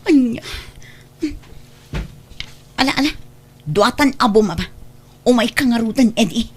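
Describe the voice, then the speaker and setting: A woman's voice: fairly high pitch, lively intonation, a little slow. Anxious married woman played by an actress, noisy ambience. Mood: firm, annoyed. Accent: Filipino, Ilocano. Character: anxious, polite married woman, firm with a pushy man. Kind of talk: radio drama acting. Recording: clear background noise and ambience.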